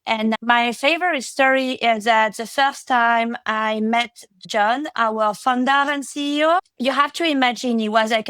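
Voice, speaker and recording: A woman's voice: fairly high pitch, a bit bright, clear, resonant tone, no room sound. Woman, French accent, thirties, chatty interview guest, fillers and restarts, dry close recording. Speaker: podcast guest, product executive. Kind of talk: casual interview talk.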